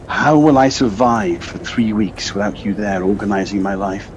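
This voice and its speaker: A man in an audio play voicing a calm, supportive husband with a measured, slightly hesitant, reassuring way of talking, recorded on a personal device. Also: on the low side, dull audio, a little hiss or hum, natural voice. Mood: teasing.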